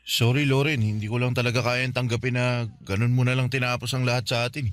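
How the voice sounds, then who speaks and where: Actor as an earnest, pleading young Filipino suitor. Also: male voice, medium pitch, flat delivery, fast talker, a bit bright, dry recording, close to an everyday voice. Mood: pleading, devoted. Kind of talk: Tagalog radio romance drama acting.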